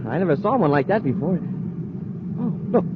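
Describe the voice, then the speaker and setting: Excitable young American sidekick, higher voice, exclamatory, tinny vintage radio serial. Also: male voice, moderate pace. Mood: excited, amazed. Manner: wide-eyed, exclamatory. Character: eager, excitable young space cadet sidekick, male. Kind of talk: 1950s radio adventure acting. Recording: tinny, noisy old radio recording.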